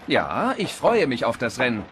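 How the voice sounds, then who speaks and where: Very animated young race car. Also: male voice, medium pitch, moderate pace. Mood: upbeat. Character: confident, upbeat, friendly young race car champion. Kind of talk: animated film dub acting. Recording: faint background noise.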